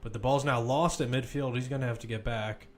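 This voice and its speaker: A man's voice: medium pitch, steady tone, a little fast, slight background noise. Man calling live football play-by-play. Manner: informal, conversational analysis between calls. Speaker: football commentator.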